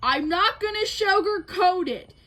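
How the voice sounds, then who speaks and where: A woman's voice: very high voice, expressive, a little slow, bright, forceful tone, pitched above the performer's own voice, heavily acted. A woman voicing a cheerful, frank little male snowman in a storybook, upbeat and exclaiming.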